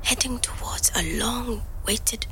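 A woman playing a reflective young migrant, speaking measured, introspective fragments as a scripted audio diary. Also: fairly high pitch, fairly even intonation, fairly bright tone, in a whisper. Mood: hushed, secretive. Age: young adult. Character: reflective young African migrant woman recording private night-time notes.

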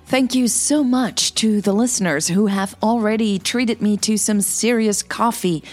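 A woman's voice: medium pitch, unhurried, husky, faint music behind. A woman who hosts and narrates a romantic fiction podcast, reading stories and speaking to her listeners.

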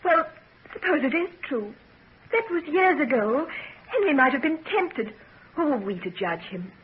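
An actress playing a loyal, loving young fiancée in a 1940s radio drama, with an earnest, emotional delivery. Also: female voice, sits high, big pitch swings, unhurried. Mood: defensive, pleading. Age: young adult. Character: loyal, loving young woman engaged to be married. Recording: dull, noisy 1940s radio recording.

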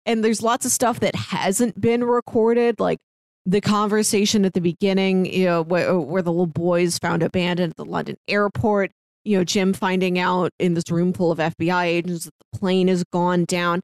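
A woman's voice: lowish voice, steady tone, moderate pace, a little mellow, no room sound. A woman co-hosting a review podcast, talking casually and analytically in an articulate, explanatory way. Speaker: podcast co-host reviewing audio dramas.

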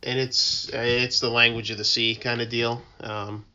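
A man explaining things as an interview guest in an informal, halting, conversational way. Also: medium pitch, flat delivery, pushed and bright, muffled recording. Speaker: interview guest, working tugboat mariner.

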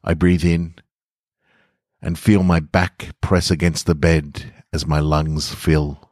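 Very deep-voiced man, podcast story reader. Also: level tone, slow pace, darkish tone, no room sound, studio quality. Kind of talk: narrated short fiction and casual host outro.

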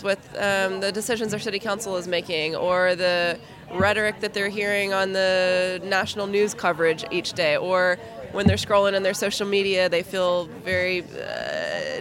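A woman's voice: medium pitch, animated, moderate pace, a bit bright. A woman hosting an interview, asking questions and affirming her guest in a friendly, thoughtful way.

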